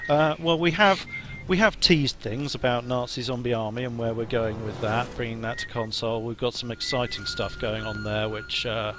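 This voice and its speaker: A man, a game developer, chatting hesitantly on a live stream while playing, somewhat hoarse as he loses his voice. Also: medium pitch, fairly even intonation, moderate pace, hardly any pauses, background noise. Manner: hesitant, many ums and restarts. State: losing his voice, coughing. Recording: music playing behind.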